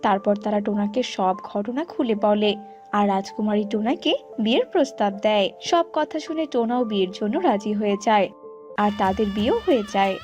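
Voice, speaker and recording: A woman's voice: mid-range voice, lively intonation, brisk, a little mellow, soft background music. A woman narrating a scripted cartoon folk tale.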